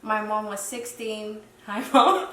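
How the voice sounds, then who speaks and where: Soft-spoken young woman, echoey room. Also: mid-range voice, fairly even intonation, slow. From Dominican Republic. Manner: hesitant, many fillers, soft-spoken reflective. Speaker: guest. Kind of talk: casual interview chat. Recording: roomy echo, mic at a distance, faint noise.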